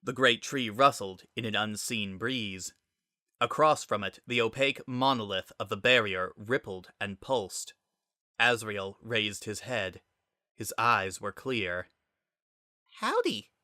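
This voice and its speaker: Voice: mid-range voice, measured pace, pushed and bright, no room sound, clean studio sound. A narrator giving a dramatic scripted reading of a story.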